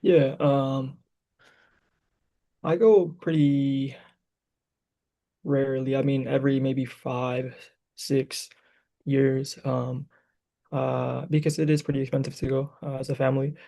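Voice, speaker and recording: Young man from Michigan, guest on a casual podcast, monotone, mellow gravelly voice, hesitant delivery. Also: mid-range voice, slow pace, pauses often. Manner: hesitant, many fillers. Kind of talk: casual chat.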